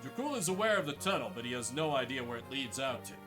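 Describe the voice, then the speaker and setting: An earnest fantasy game duke, voiced by a man, speaks with a troubled air and lively intonation, with music behind. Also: mid-range voice, fast talker, natural voice. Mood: resolute.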